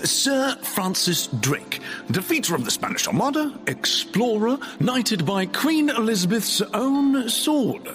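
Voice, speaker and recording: An actor playing a pompous, bawdy, genteel Elizabethan English explorer in a comedic audio drama. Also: male voice, very high-pitched, big pitch swings, slow, a bit bright, faint music behind, full character voice.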